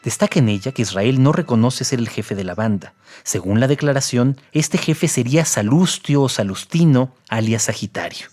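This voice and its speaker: A man's voice: mid-range voice, expressive, moderate pace, hardly any pauses, darkish tone, soft background music. A man giving scripted analytical commentary as co-narrator of an audio documentary.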